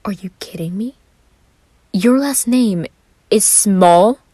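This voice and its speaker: A woman's voice: mid-range voice, slow, dark tone, husky, no echo, voice pushed up. Woman plays short, fiery young male romantic lead, regal, confident, theatrical, dry sound. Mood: indignant.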